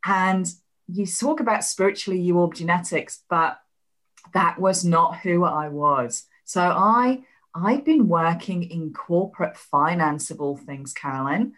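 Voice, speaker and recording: A woman in her fifties from England, speaking with an English accent softened by years in Australia, chatting animatedly as an interview guest. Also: on the low side. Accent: English with some Australian. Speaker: guest, healing coach. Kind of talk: casual interview chat.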